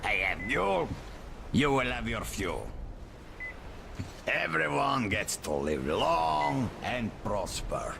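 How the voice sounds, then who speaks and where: An actor playing a suave, menacing warlord baron in a video game, speaking in a deliberate, pompous and courteous way with a gravelly voice. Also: male voice, medium pitch, big pitch swings, background noise, heavily acted. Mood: veiled threat. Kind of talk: dramatic video game cutscene acting.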